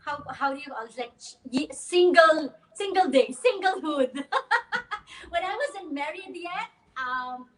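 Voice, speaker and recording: A woman's voice: high-pitched, lively intonation, takes it slowly, fairly bright tone, low-quality recording. A woman in her thirties from the Philippines, a guest in a casual online interview who laughs often and uses many fillers.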